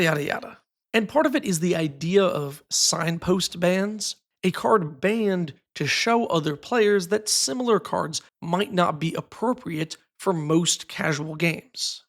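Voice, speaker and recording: Raspy-voiced man explaining card game opinions. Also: a little high. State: sick, raspy voice. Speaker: card game content creator. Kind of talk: scripted video essay.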